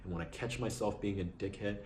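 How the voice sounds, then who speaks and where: A man hosting his own vlog, talking casually and reflectively about gratitude, low on energy and slightly raspy after a long night. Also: brisk, slight background noise. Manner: relaxed, reflective, keeps adding "right?". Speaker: vlog host. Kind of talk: casual motivational vlog talk.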